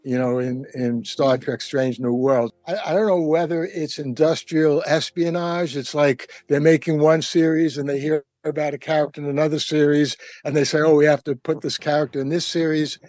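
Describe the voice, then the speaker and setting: Man talking haltingly, higher-pitched, dry sound. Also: steady tone, darkish tone, no room sound. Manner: hesitant, halting, stammering delivery. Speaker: panelist. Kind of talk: casual roundtable discussion.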